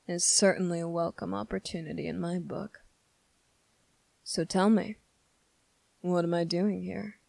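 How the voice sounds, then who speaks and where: A sardonic demon acted by a woman addresses the mortal who summoned it with smug condescension. The voice is on the low side with plenty of gravelly creak, very unhurried, with drawn-out pauses, in a dramatic ASMR roleplay. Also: a little mellow, no room sound.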